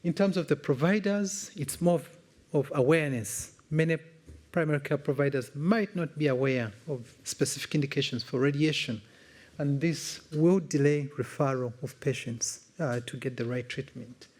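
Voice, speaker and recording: A man from Uganda with a Ugandan accent, giving a formal, measured, explanatory slide presentation as a conference speaker. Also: fairly high pitch, darkish tone. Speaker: conference speaker, cancer specialist.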